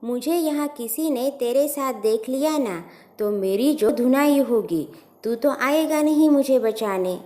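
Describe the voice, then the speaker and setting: A woman's voice: sits high, expressive, measured pace, dark tone, pitched above the performer's own voice. A woman voicing a practical, teasing young woman in love in an audio story, informal and protesting. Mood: anxious. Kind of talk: acted storytelling dialogue.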